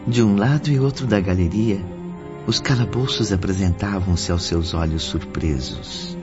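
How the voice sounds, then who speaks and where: An actor playing a solemn storyteller, narrating a Brazilian radio drama in a deliberate, dramatic manner. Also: male voice, low voice. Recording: dull lo-fi radio drama, loud music behind.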